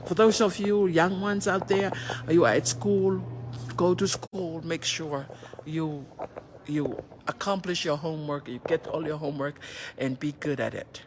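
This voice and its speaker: A woman's voice: low-pitched, unhurried, background noise. A middle-aged Tongan woman whose first language is Tongan, giving an earnest motivational talk on a phone livestream and often asking herself rhetorical questions. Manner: earnest, asks rhetorical questions and answers them; switches between languages mid-sentence. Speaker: livestream host.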